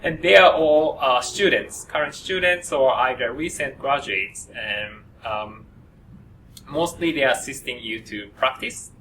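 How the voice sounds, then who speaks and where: A man presents at a student orientation, pausing often and filling gaps with hesitations. His voice has a bright edge. He sits some way from the microphone, with faint noise behind. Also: medium pitch. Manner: halting, frequent uh fillers. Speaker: program coordinator at an orientation. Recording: distant mic with faint noise.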